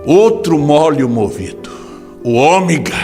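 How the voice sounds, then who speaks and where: A man preaching a sermon as pastor in a Brazilian Portuguese accent, impassioned, swinging from hushed drops to sudden shouts. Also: fairly high pitch, animated, a little slow, long reverb tail, soft background music. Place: large church hall.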